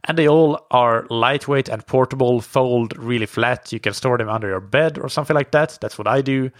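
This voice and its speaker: A man hosting a podcast, reading sponsor messages and then interviewing a guest in a relaxed, conversational way with frequent fillers. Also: mid-range voice, moderate pace, no echo, clean studio sound. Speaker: podcast host.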